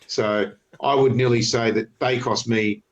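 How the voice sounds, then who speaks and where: A man's voice: mid-range voice, steady tone, measured pace, highs cut off. Male podcast co-host, stuffy with a cold, upbeat and self-mocking, casual sports chat. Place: hotel room.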